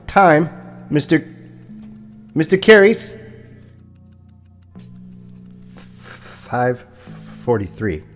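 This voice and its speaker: An actor playing a meek, courteous, big property broker who stammers on his consonants and speaks in a formal, halting way in an audio drama. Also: fairly high pitch. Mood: anxious. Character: large muscular male property broker, meek, anxious, courteous.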